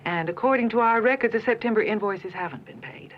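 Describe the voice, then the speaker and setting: A woman playing a brisk accounts clerk. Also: fairly high pitch, lightly acted. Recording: muffled film soundtrack.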